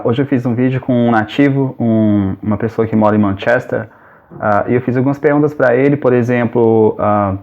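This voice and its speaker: A Brazilian man introducing his video to the camera, talking quickly with lots of "ah" fillers. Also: medium pitch, a little mellow, highs cut off. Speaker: English teacher, channel host.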